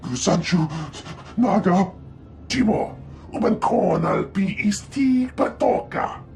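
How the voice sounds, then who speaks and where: A male actor voicing a small, twitchy, excitable alien merchant in a video game, jabbering in an invented tongue with a creaky voice. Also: high-pitched, slow, full character voice. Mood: excited, haggling.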